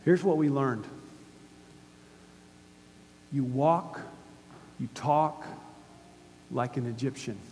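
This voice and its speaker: A man's voice: medium pitch, very slow, pauses often, a little mellow. A man in his fifties from the United States preaching a sermon, emphatic and putting questions to the audience. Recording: hall reverb with faint hum.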